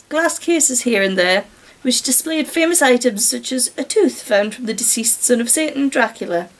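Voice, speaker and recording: High pitched woman reading some online story out loud, super sarcastic casual commentary mixed in. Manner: wry, sarcastic asides.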